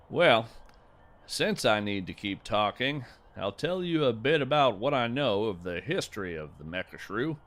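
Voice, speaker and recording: A man playing a gentle, folksy naturalist who hosts a scripted field podcast with earnest, lyrical wonder. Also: mid-range voice. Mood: earnest storytelling. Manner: deliberate, lyrical, earnest delivery. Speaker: podcast host and narrator. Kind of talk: scripted narration with poetry reading.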